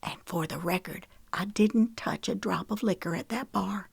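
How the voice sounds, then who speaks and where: A frightened young woman, tearful and hushed, her words trembling, as a woman reading an audiobook puts her on. The voice sits a touch higher than the narration, close and dry. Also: normal speed, vocal fry, breathy edge, no echo. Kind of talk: audiobook character voice.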